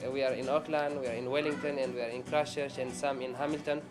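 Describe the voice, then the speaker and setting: A man giving interview answers as a community representative, in halting English. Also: medium pitch, flat delivery, music in the background. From Eritrea.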